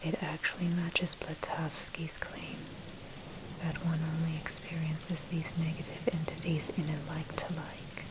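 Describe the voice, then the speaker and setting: A woman reading a scripted article in a breathy, unvoiced ASMR whisper, with measured, articulate, bookish delivery. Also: sits low, rough recording. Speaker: narrator reading own dark history article. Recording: steady noise, rain and thunder behind. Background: rain and thunder.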